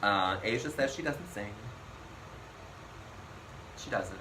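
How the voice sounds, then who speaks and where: A man's voice: fairly low pitch, takes it slowly. A man hosting a casual recap chat, talking loosely with lots of ums and frequent laughs. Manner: chatty, laughs often, trails off with ums. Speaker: podcast host recapping a TV show. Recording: noisy room recording.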